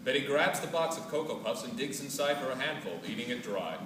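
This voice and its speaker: A man's voice: mid-range voice, animated, a little fast. Man reading stage directions, very echoey. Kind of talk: scripted narration. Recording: distant, echoey room recording with noise.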